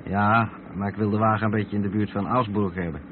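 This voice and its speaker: A thin, narrow-band radio drama recording with clear vehicle noise carries a rather low male voice. An actor plays a steady truck driver, explaining things patiently to his companion. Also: fairly quick, close to an everyday voice. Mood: patient, explanatory.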